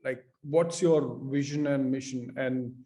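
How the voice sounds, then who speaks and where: A man's voice: medium pitch, fairly even intonation, measured pace, dark tone. A man from India hosting a casual podcast interview, asking long, rambling questions. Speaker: podcast host.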